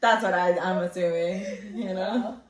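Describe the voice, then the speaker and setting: A woman co-hosting a casual podcast chat with friends, telling a story with lively acted-out quotes. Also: on the low side, normal speed. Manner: animated storyteller, acts out quotes. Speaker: podcast co-host. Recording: echoey room.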